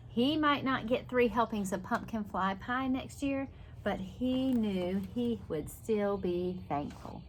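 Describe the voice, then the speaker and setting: A woman reading a children's picture book aloud as a preschool teacher, animated, with asides and questions to young listeners. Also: mid-range voice, slight background noise.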